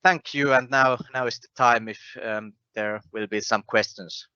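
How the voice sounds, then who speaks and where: Bright-voiced man on muffled webinar audio. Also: medium pitch, steady tone, normal speed, smooth, dry recording. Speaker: conference presenter. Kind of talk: technical presentation.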